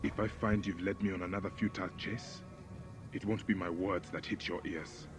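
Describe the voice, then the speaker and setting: A man's voice: low-pitched, steady tone, normal speed, music in the background, close to an everyday voice. A man playing a stern, curt desert warrior in a video game, terse, wry and threatening. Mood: gruff impatience. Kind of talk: video game cutscene acting.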